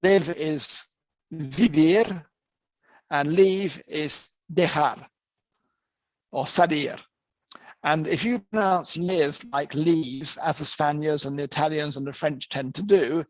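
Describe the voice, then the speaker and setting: A man's voice: on the high side, no echo. A man teaching interpreters in an online master class, speaking conversationally and repeating phrases as he works through examples. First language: English. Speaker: interpreting lecturer. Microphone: video call.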